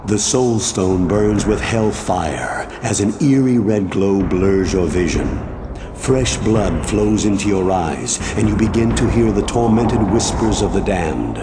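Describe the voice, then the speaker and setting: An actor playing an ominous, solemn narrator of a dark fantasy game cutscene. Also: male voice, expressive, unhurried. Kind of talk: scripted second-person game cutscene narration. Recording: heavy ambient rumble behind voice.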